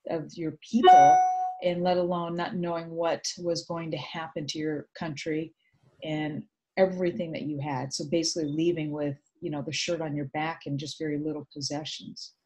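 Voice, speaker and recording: A middle-aged woman from Vietnam, Vietnamese her first language, telling her story thoughtfully in a video call interview with many pauses. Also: sits low, fairly even intonation, dark tone, low-quality recording. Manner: reflective, hesitant with many fillers. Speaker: guest. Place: home room indoors. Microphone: video call webcam.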